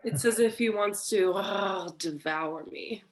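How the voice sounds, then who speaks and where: A woman's voice: mid-range voice, takes it slowly, close to an everyday voice, low-quality recording. Woman playing a melodramatic femme fatale in distress. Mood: sultry, fearful. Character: frightened, seductive femme fatale wife, breathy damsel in distress. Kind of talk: staged reading of a detective noir parody.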